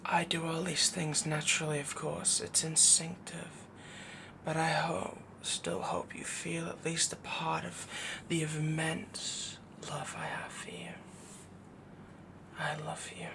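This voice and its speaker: A man's voice: a little high, flat delivery, low-quality recording. Man playing a whispering, possessive wolf boy lover. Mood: adoring, possessive. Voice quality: soft, breathy whisper. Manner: slow, intimate, cooing, rambling devotion. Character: possessive, doting young wolf boy mate, sweetly dominant. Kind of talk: ASMR boyfriend roleplay.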